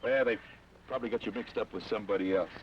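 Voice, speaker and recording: Man doing a laconic outlaw, quick clipped talk, muffled old film audio w/ hiss. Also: lowish voice, natural voice. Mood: wary. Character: terse, guarded western outlaw man. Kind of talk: 1950s western film dialogue. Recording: dull old film soundtrack with hiss and score.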